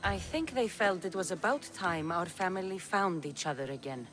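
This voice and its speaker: A woman's voice: lowish voice, animated, normal speed, a bit bright, soft background music. A woman playing a fierce, earnest young Greek mercenary and protective daughter in a dramatic video game. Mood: warm, resolute.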